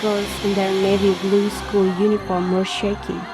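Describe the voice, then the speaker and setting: A woman's voice: mid-range voice, little pitch movement, normal speed. Woman narrating drama over prominent music. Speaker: narrator of fiction podcast, first-person.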